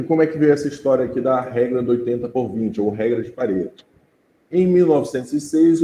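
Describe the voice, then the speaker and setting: A man's voice: mid-range voice, dark tone, a little hiss or hum. A man with a Brazilian Portuguese accent teaching an informal class, talking conversationally and often checking in with his students. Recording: roomy echo.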